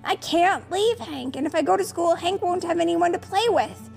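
Woman playing a stubborn, high-voiced little kid. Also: lively intonation, moderate pace, gravelly, a little mellow, faint music behind, full character voice. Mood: pleading, upset. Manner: insistent, pleading. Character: stubborn anxious young child, first-day school refuser.

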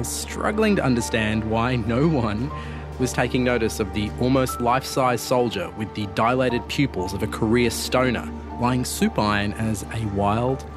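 A man hosting and narrating a documentary in a wry, self-deprecating way. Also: medium pitch. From Australia. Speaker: host and reporter.

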